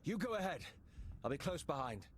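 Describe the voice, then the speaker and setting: A man's voice: fairly high pitch, big pitch swings, a little fast. A man playing a dutiful, businesslike intelligence operative in a game, earnest, clipped and practical. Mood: urgent. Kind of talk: video game dialogue acting. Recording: background noise and game ambience.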